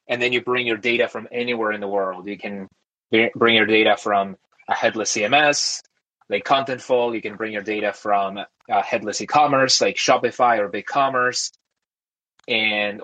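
A young man speaks as an interview guest in a fairly low voice. His talk is loose and conversational, full of fillers and quick restarts. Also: poor audio. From Argentina. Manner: relaxed, talkative, frequent fillers and restarts. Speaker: guest, tech founder.

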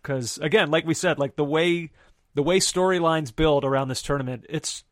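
A man's voice: on the high side, normal speed, no echo, clean studio sound. A man hosting a soccer podcast with enthusiasm, steering the talk through rambling asides. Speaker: podcast host.

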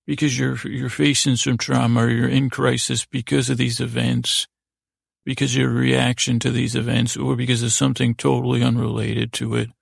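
A man hosting a podcast in a casual, unscripted monologue, halting and rambling as he often doubles back mid-sentence, with a gravelly voice. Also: medium pitch, flat delivery, dry recording, studio quality. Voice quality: creaky, gravelly. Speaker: podcast host.

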